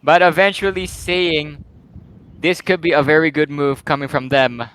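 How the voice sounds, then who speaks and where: High-voiced man, excitable game caster. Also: normal speed, clear, resonant tone, poor audio. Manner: excitable, rambling, filler-heavy play-by-play. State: slightly croaky voice. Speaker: esports caster. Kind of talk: live play-by-play game commentary.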